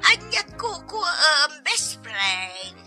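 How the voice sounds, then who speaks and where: A good-natured, generous mother and friend with an Ilocano accent. Her high voice is lively and unhurried, in broad radio comedy drama style. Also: music in the background, full character voice. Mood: breezy, generous.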